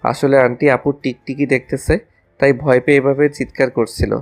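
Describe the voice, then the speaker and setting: একজন পুরুষের অভিনয়ে দুষ্টু, রোমান্টিক কলেজপড়ুয়া ছেলে, পেছনে বাজনা. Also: male voice, mid-range voice, little pitch movement, normal speed, vocal fry, faint music behind, lightly acted. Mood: playful, mock-innocent. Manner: playful, mock-innocent, teasing. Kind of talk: audio romance story acting.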